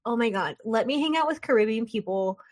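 Animated, jokey woman host. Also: a little high, fairly quick. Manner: animated, jokey, acts out quotes. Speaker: podcast host. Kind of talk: casual interview chat.

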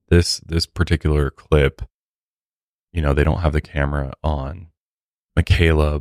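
A man's voice: rumbling low, a little mellow, dry recording, clean studio sound. A man hosting a podcast, talking in a casual, conversational way. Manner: conversational, informal. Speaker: podcast host. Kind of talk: casual podcast commentary and sponsor read.

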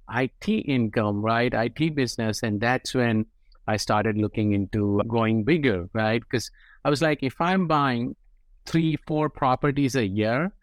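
A man's voice: mid-range voice, no room sound. A man from India with an Indian accent, a guest investor explaining his path in a conversational interview, often tagging sentences with "right?". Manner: explanatory, frequent "right?" tags.

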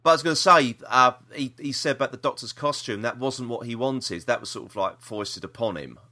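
A man's voice: mid-range voice, brisk, pushed and bright. A British man hosting a podcast in casual chat, hesitant and rambling, often repeating himself. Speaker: podcast host.

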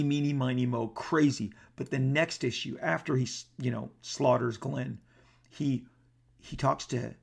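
A man talking casually about comics with a gravelly, throat-clearing voice, rambling with lots of restarts and fillers. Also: medium pitch. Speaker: comic book artist. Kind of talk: casual talk to camera.

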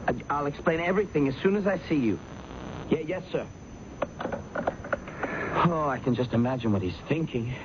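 Male actor as anxious clerk, highish voice, stammering, eager to please, radio drama, noisy old recording. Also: expressive, normal speed, pauses often, highs cut off, natural voice. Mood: flustered, anxious. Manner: stammering, hesitant, deferential. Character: timid, flustered male office worker, anxious to please.

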